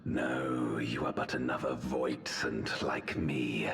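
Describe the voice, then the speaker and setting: Grave video game villain, extremely deep, gravelly, solemn archaic phrasing, remorseful, unhurried. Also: male voice, flat delivery, slight background noise, exaggerated voice. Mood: disdainful. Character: fallen armored villain, male, grave, remorseful, grandiose. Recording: roomy echo, loud music underneath.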